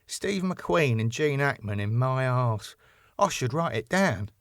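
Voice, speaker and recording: A man's voice: medium pitch, animated, takes it slowly, fairly bright tone, dry recording, studio quality. A man voicing a gullible, dim-witted man. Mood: naive. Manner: naive, earnest.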